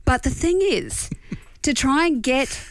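An Australian woman co-hosting a radio show, chatting casually with quick asides and laughs. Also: high-pitched, animated, dry recording, clean studio sound. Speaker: radio co-host. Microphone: studio microphone.

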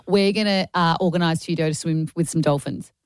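Lower-voiced female co-host. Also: smooth, dry recording, clean studio sound. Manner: chatty, teasing, quick to laugh. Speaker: radio co-host. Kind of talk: casual chat with callers. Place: radio studio.

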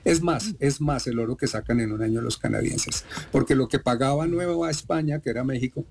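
A man's voice: mid-range voice, a little fast, vocal fry, a little mellow, a little hiss or hum. A middle-aged man from Colombia with a Bogotá accent, a doctor explaining at length and with emphasis as an interview guest. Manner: lecturing, emphatic, digressive.

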